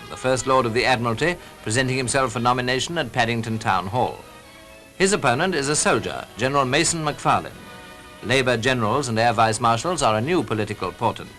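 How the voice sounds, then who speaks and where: A man's voice: medium pitch, fairly bright tone. A British man reading scripted newsreel commentary in the style of the 1940s, as a narrator. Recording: vintage 1940s newsreel soundtrack with music. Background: music.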